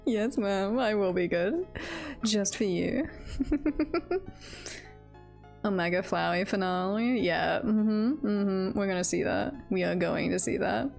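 A woman's voice: mid-range voice, slow, vocal fry, long pauses, darkish tone, faint music behind. A woman streamer chatting casually and playfully as she plays a video game, in a teasing manner.

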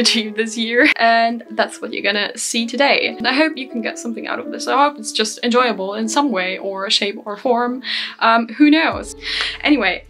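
A young woman in her twenties from Germany, chatting casually to camera about her goals, a little self-deprecating and quick to laugh. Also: medium pitch, sharp tone. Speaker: language-learning vlogger. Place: small bedroom, indoors. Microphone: camera at desk distance.

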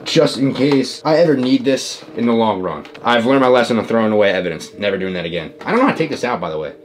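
A man in his twenties talking casually and excitably in slang to a camera in a bedroom. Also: medium pitch, quick pace, a little hiss or hum. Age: 20s. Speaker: vlogger. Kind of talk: casual reaction vlog. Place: small bedroom with desk.

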